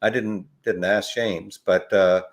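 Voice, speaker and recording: An older man talking as a podcast guest, rambling and halting with many fillers and restarts. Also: lowish voice, steady tone. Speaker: podcast guest, ukulele educator. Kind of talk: relaxed conversational interview.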